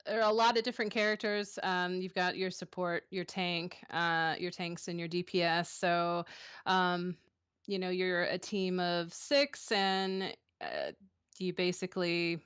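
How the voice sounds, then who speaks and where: A woman in her thirties chats as a guest on a streaming interview. Her voice sits low for a woman, and she talks in a halting way, filling her gaps with ums and restarting her sentences. The sound is dry, with almost no room. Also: fairly bright tone, smooth, no echo. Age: 30s. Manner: hesitant, many ums and restarts. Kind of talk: casual interview answers.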